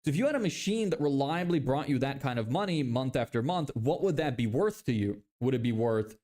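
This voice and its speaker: A man in his twenties from Lithuania, with a Lithuanian accent, hosting a casual live stream. He reads viewer questions aloud and explains sales ideas in a relaxed way, with lots of fillers and restarts. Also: medium pitch, a little fast. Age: 20s.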